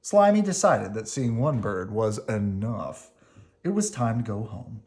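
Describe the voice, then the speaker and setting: A woman reading a children's storybook aloud in an expressive, dramatic way. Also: very deep voice, a little slow. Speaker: storybook reader. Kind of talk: children's read-aloud narration.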